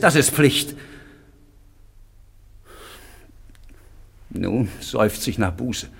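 An actor playing a remorseful chained prisoner in a radio drama, brooding and anguished. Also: male voice, low-pitched, gravelly, breathy edge, exaggerated voice. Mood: anguished pleading. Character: remorseful chained male prisoner longing for death.

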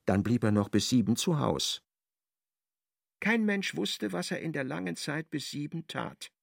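A man narrating a radio play in an ironic, expressive storytelling manner. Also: fairly high pitch, creaky, dry recording, clean studio sound.

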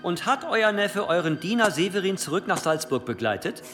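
A stern cathedral provost interrogates in a great echoing hall with music underneath. He is formal and sarcastically polite, cunning and insistent, with a very animated delivery. It is historical radio drama. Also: high voice, fairly quick. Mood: probing, mocking. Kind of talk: historical radio play acting. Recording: long hall reverb, loud music underneath.

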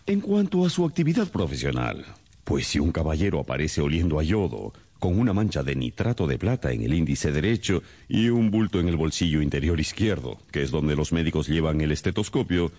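Deep, swooping, pompous detective. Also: male voice, big pitch swings, a little fast, mellow, husky, full character voice. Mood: lecturing, self-assured. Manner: theatrical, didactic, pompous. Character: brilliant, condescending male consulting detective. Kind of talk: radio drama acting.

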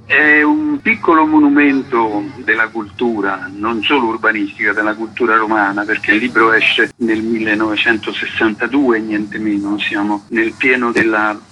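A man's voice: on the low side, steady tone, moderate pace, sharp tone. A man, an urban planner, explaining enthusiastically and didactically as a radio interview guest. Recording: faintly noisy radio interview line.

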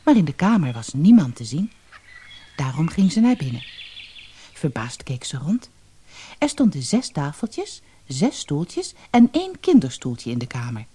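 A woman storyteller giving an expressive, scripted fairy-tale narration. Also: sits low, a little slow, husky.

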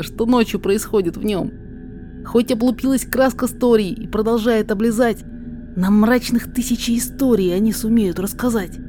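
A woman playing a humble male mountain guide and herb gatherer in a verse drama, telling things in a measured storyteller's way. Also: fairly high pitch, a little mellow, lightly acted. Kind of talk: rhymed verse drama reading.

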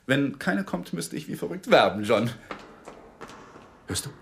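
An actor playing an embittered, excitable young lawyer in a radio drama, tense and quick to turn defensive. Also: male voice, mid-range voice, vocal fry, slight background noise, heavily acted. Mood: businesslike. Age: young adult.

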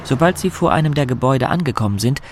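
A man's voice: medium pitch, animated, quick pace, close to an everyday voice. Male actor as storyteller with music behind. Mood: composed. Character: omniscient storyteller, composed and observant. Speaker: narrator. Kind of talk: scripted radio drama narration.

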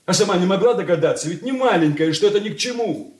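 Domineering son: fairly high, animated, petty and emphatic, radio drama with roomy sound. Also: male voice, quick pace. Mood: scornful. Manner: peevish, haughty, emphatic. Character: irritable, domineering grown son, pompous and petty. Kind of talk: 1980s Soviet radio play acting.

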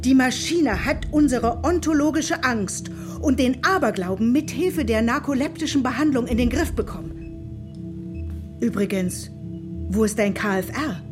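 A woman's voice: sits high, lively intonation, unhurried. Brief: fussy, haughty mother in a radio play, music behind her. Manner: prim, indignant, clipped. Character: prim, haughty mother, fussy and machine-devoted.

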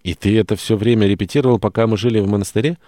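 Male reader as enthusiastic companion, earnest, mildly didactic, low pitch, audiobook dialogue. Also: steady tone, racing, dark tone, no echo, studio quality, natural voice. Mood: amazed, curious.

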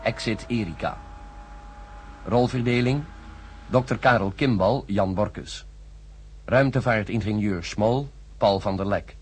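A man reading radio drama credits as an announcer, formal and deliberate. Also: fairly low pitch, a little mellow, breathy edge.

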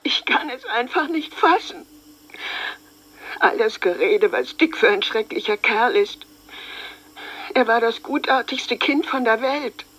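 Accused man's mother, radio drama: middle-aged, plain, bewildered, defensive, fairly high voice. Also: expressive, measured pace, long pauses, a bit bright, rough recording. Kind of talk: German radio drama acting, monologue.

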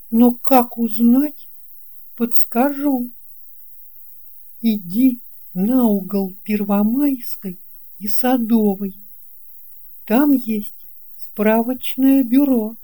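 A woman voicing a kind, confident eight-year-old girl with a gentle, reassuring manner in a children's audio storybook. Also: on the high side, very slow, long pauses, pitched above the performer's own voice. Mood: helpful, confiding.

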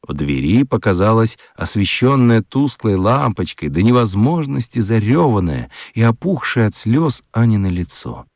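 Low, animated male storyteller. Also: moderate pace, few pauses, dry recording, studio quality. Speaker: narrator. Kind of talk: expressive scripted storytelling for a children's radio play.

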